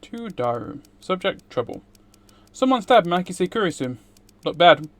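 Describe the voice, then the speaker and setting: A male streamer reads from a visual novel during a livestream. His voice sits a little higher than most men's. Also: very animated, a little slow, fairly bright tone.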